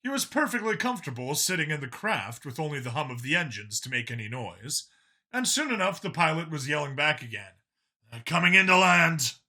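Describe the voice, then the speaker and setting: A man reading a scripted story as an expressive, dramatic audiobook narrator. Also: fairly high pitch, fairly quick, slightly rough.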